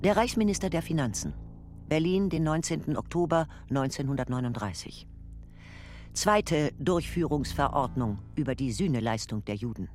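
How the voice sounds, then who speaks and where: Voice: mid-range voice, expressive, normal speed, darkish tone, faint music behind, close to an everyday voice. An actor playing a formal Nazi-era ministry voice, reading out a decree in a documentary radio play. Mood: official.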